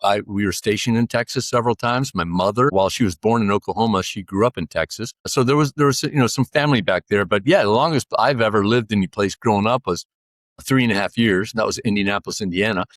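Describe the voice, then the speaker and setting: A man in his sixties talks in a relaxed, rambling way, circling back with fillers and restarts. His voice sits on the low side. The recording is dry and close, with no room sound. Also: quick pace, no room sound. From United States. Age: 60s. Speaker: podcast guest. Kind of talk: casual interview conversation.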